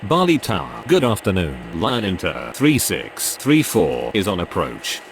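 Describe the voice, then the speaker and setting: Very deep-voiced man as procedural air traffic radio operator. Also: wildly swooping pitch, unhurried, exaggerated voice. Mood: businesslike. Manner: clipped, formulaic phrasing. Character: procedural male pilot and air traffic controller. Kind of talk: scripted aviation radio calls.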